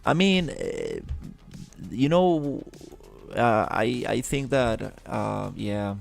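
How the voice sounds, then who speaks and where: Guy streaming, slow n rambly, lots of ums, faint music behind. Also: mid-range voice, wildly swooping pitch, vocal fry. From Costa Rica. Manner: halting, many fillers and restarts. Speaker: livestreamer. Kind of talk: casual live-stream chat.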